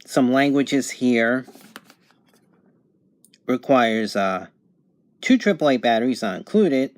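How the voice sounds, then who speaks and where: Guy doing a casual unboxing at home, mid pitched voice, kinda halting, figuring it out as he talks. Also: lively intonation, no echo. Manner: unscripted, halting, thinking aloud. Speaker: amateur product reviewer. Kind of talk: casual unboxing and review narration. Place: home bedroom, indoors.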